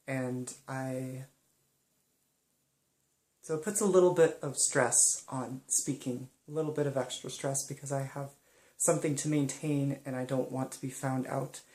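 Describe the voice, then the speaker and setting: A young woman speaking softly and hesitantly in a personal monologue, pausing often. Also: a bass voice, echoey room. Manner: soft-spoken and hesitant, many pauses and ums. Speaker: vlogger.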